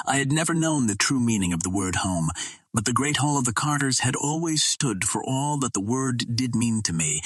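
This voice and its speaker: A man narrates a classic adventure novel in the first person. His voice is fairly low and rough-edged, with a husky grain. The sound is dry and close, as a scripted audiobook reading. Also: fairly bright tone, dry recording.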